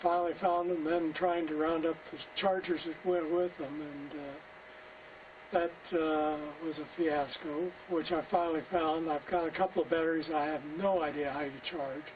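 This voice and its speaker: A man's voice: on the high side, fairly even intonation, moderate pace. Male, folksy rambling radio check-in, phone-like band, a little distant from the mic. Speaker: amateur radio operator giving an update. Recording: tinny phone-like link, distant, faint noise.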